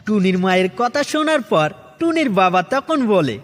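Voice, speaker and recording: A man narrates a children's cartoon story in an unusually high voice. His pitch swings in a lively way, and long reverb trails his words. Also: normal speed. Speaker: narrator and channel host. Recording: heavy reverb with music.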